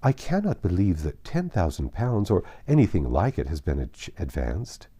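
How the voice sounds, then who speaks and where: A man reads the part of a forgiving young elder sister in a classic storybook. She reasons gently and earnestly in a mellow voice with a varied, lilting melody. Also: low-pitched, huge pitch swings, normal speed, husky, exaggerated voice. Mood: hopeful.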